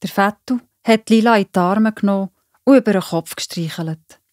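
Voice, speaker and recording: A woman's voice: medium pitch, moderate pace, darkish tone, dry recording, studio quality. A woman with a Swiss German accent narrating a scripted children's story.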